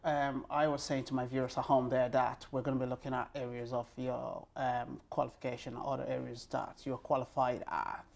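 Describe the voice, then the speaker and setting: A woman hosting a talk show, interviewing conversationally in a halting way as she rephrases her questions. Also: extremely low pitch, little pitch movement, creaky, darkish tone.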